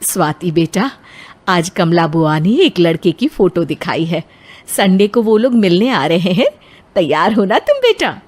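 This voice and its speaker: A woman voicing a conventional, persuasive mother in an animated moral story, coaxing in a motherly way. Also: fairly low pitch, unhurried.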